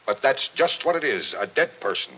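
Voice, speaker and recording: An actor playing a blunt, impatient police captain in a 1940s radio mystery. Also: male voice, medium pitch, very animated, breathy edge. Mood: uneasy. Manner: blunt, pushy, brisk. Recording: dull old radio broadcast.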